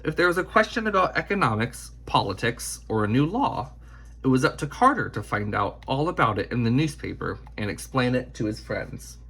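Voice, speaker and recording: A man narrates a children's biography as an expressive read-aloud. His voice is husky, with a gravelly creak at the edges. The intonation swings widely while the phrasing stays measured and deliberate. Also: medium pitch, poor audio.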